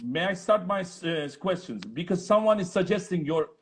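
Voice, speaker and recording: A man with a Turkish accent hosting a casual interview in an effusive, halting way, often repeating his phrases. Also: high-pitched, animated. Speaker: interview host, opera singer. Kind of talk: casual video chat interview.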